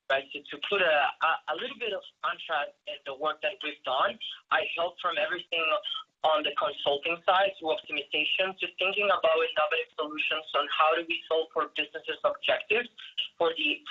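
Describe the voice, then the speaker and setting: Man from guatemala, webinar speaker, earnest and thankful, repeats words, higher voice, muffled audio. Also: pushed and bright, husky. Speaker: agency partner presenting in a webinar. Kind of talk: corporate webinar presentation.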